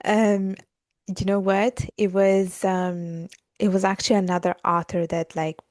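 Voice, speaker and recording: A woman's voice: mid-range voice, little pitch movement, unhurried, a little mellow, no echo, studio quality. A woman in her thirties from Italy, chatting as a podcast guest with lots of fillers like "like" and "you know.". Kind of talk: casual conversational interview.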